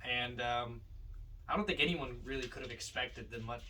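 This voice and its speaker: A man's voice: medium pitch, moderate pace, bright, forceful tone, a little hiss or hum. A man co-hosting a podcast in a casual film discussion, often posing rhetorical questions, recorded at some distance from the mic. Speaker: podcast co-host.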